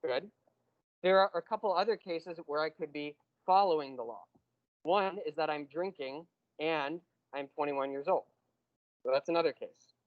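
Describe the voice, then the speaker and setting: A man teaching a university math class, explaining step by step and slowing down on key terms. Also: a little high, dry recording, phone-quality sound. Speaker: math lecturer. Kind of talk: classroom lecture.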